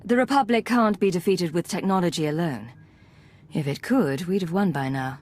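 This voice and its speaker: Woman as a cool, low-voiced secret agent. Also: normal speed, darkish tone, lightly acted. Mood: cool, composed. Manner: controlled, dry, terse. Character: cool, self-reliant female secret agent. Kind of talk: video game cutscene acting.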